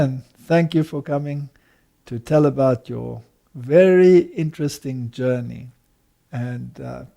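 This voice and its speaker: Older male interview host, fairly high gravelly voice, formal introductions. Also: dark tone.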